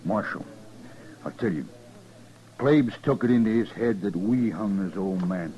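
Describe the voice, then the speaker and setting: A man's voice: lowish voice, unhurried, warm tone, lightly acted. An American man playing a stubborn, vengeful rural man in a 1950s radio western, with a folksy drawl. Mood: accusing. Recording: dull old radio recording.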